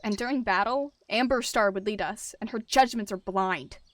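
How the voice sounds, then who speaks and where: A woman's voice: mid-range voice, normal speed, natural voice. A woman voicing a hot-tempered, ambitious male warrior cat deputy with a snarling, commanding manner in an animal roleplay story. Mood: tense, pleading.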